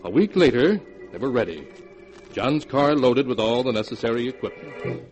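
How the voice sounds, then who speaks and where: A man narrating a scripted 1940s radio horror tale in a formal, measured storytelling manner. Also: mid-range voice, lively intonation, darkish tone, faint music behind, lightly acted.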